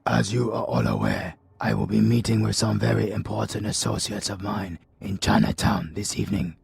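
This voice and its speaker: A man with a British accent voicing a calm, commanding male crime boss. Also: fairly low pitch, moderate pace, vocal fry, slightly rough, dry recording, pitched above the performer's own voice, full character voice.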